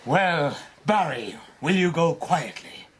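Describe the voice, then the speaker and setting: An actor playing a triumphant, gloating aristocratic rival in a period radio drama. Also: male voice, a little high, lively intonation, takes it slowly, fairly bright tone, a little hiss or hum.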